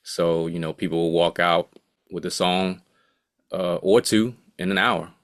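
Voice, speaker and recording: Give me a man in his late thirties telling his career story in a relaxed, rambling way, with a very low voice. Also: unhurried, no room sound, studio quality. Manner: laid-back, rambling, full of asides. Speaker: guest, music producer and engineer. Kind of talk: casual interview talk.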